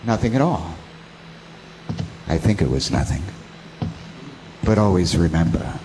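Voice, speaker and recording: A man's voice: low voice, animated, a little slow, mellow, slight background noise, rough recording. A man announcing a radio drama in a theatrical, formal showman's style like old-time radio.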